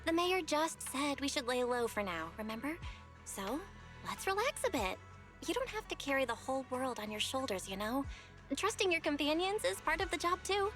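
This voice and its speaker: A woman's voice: high-pitched, sing-song, normal speed, a bit bright, soft background music. An actress playing an upbeat, caring young woman in a game, reassuring and friendly.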